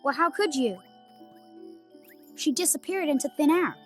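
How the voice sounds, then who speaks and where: A woman's voice: high voice, animated, normal speed, soft background music. A woman voicing an innocent, polite young boy hero with an American accent in a fantasy game. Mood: unsure. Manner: naive, questioning, earnest. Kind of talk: animated fantasy game voice acting.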